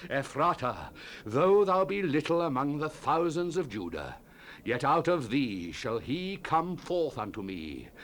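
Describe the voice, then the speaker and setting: A man's voice: mid-range voice, fairly bright tone. A man giving a solemn, declamatory dramatized scripture reading as a Bible narrator, in the manner of a 1970s audio Bible. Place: studio recording. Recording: noisy 1970s cassette tape transfer.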